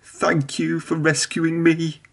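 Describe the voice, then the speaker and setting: Guy doing a nice old train, husky, gentle and friendly, kids story. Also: on the high side, monotone, takes it slowly, heavily acted. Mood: kindly. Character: kindly, helpful old male steam engine.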